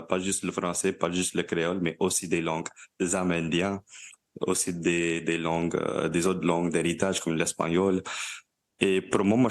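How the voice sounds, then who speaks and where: A young man with a Louisiana French accent answers interview questions in an easygoing way. His voice sits very low, and he pauses often to find his words. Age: young adult. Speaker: guest, language activist.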